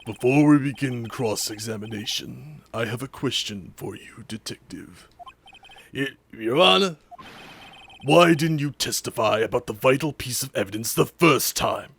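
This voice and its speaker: A man voicing a solemn, slightly muddled video game judge in a measured, formal manner. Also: mid-range voice, vocal fry, a bit bright, a little hiss or hum. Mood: stern, measured.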